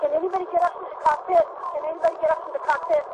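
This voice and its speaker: A woman working as a flight attendant makes an emergency phone call, reporting in a halting, urgent but controlled way. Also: very high-pitched, fairly even intonation. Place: aboard an airliner in flight. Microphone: telephone. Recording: noisy archival phone recording.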